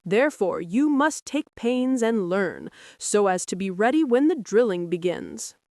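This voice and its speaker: A woman's voice: medium pitch, animated, a little slow, a little mellow, no echo, clean studio sound. A woman voicing a patient, firm mother stork in a storybook, instructing and reassuring her young. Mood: patient, instructive.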